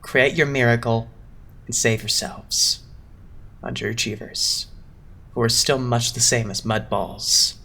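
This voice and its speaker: Man playing a creaky-edged, condescending young idol leader. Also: medium pitch, fairly even intonation, bright, forceful tone, slight background noise. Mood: grandiose, lofty. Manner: measured, theatrical, condescending. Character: poised, condescending young male idol leader, smoothly cutting. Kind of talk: anime-style dub voice acting.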